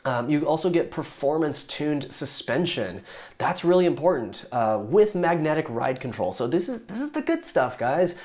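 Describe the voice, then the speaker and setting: Hoarse, chatty young man explaining. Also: fairly high pitch, very animated, moderate pace, a little mellow. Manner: enthusiastic, rambling with fillers and restarts. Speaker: YouTube car reviewer. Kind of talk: casual explainer talk.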